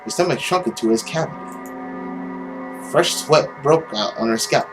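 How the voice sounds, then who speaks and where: A man's voice: medium pitch, expressive, moderate pace. A young man doing a theatrical, suspenseful read-aloud of a visual novel as a let's play host, at home. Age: young adult. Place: indoor room at home. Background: game music.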